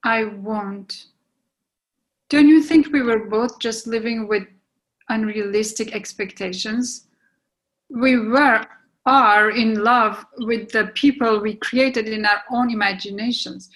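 A woman in a play reading, playing a regretful, resigned lover who is gently breaking things off in a restrained, apologetic way. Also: on the high side, takes it slowly, rough recording. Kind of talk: readers theatre play reading.

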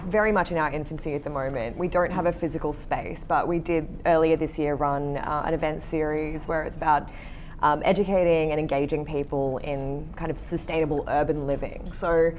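Low-voiced woman on a panel. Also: fairly even intonation, brisk, a little mellow, a little hiss or hum. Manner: tentative, hedging with "kind of" and "um". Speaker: panel guest, co-living director. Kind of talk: live panel conversation.

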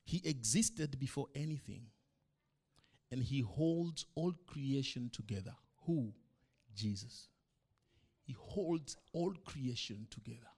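A middle-aged man, a pastor whose first language is not English, preaching a sermon to his church with emphatic rhetorical questions, swinging between loud and soft. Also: medium pitch, animated, slow, slightly rough.

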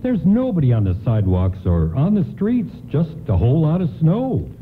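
Friendly store doorman, radio play. Folksy, earnest, lively pitch swings, muffled broadcast with faint noise. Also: normal speed. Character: friendly, plain-spoken male department store doorman.

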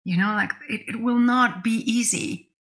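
A woman's voice: fairly high pitch, normal speed, fairly bright tone. A woman co-hosting a spiritual discussion podcast, thinking aloud in a reflective way and restating her points. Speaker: podcast co-host.

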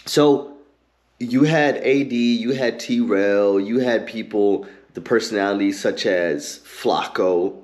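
A man hosting his own podcast, ranting heatedly and cursing a lot, with an occasional stutter and pauses filled with um and like. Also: on the low side, a little mellow. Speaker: podcast host. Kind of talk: ranting commentary.

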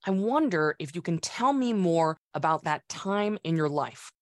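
A woman hosting an interview, asking questions in a warm, encouraging way. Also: fairly low pitch, animated, measured pace, no echo, clean studio sound. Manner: warm and encouraging, complimenting the guest. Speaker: podcast host.

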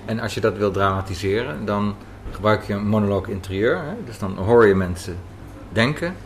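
A man's voice: on the low side, normal speed. A man interviewing casually, probing and thinking aloud as he asks. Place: café. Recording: faint café background noise.

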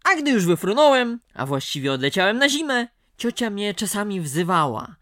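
A woman's voice: medium pitch, sing-song, normal speed, fairly bright tone, dry recording, studio quality. A woman playing a flustered, indignant thirty-year-old bachelor nephew with a stammering, whiny manner in a radio comedy. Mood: aggrieved. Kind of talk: radio comedy drama acting.

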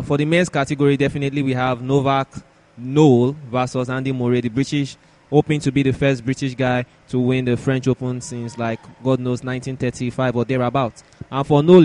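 Man, sports podcast host, conversational, medium pitch, repeats and rephrases. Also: darkish tone.

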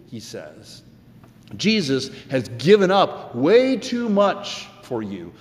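A male pastor delivering a sermon in an earnest, emphatic way, with deliberate pauses and repeated phrases. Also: on the high side, darkish tone. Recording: echoey, reverberant church sound.

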